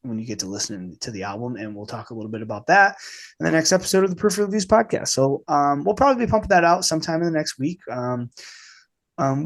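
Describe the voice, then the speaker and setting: A man hosting a casual film podcast, speaking informally and haltingly with a slight cold in his voice. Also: medium pitch. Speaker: podcast host.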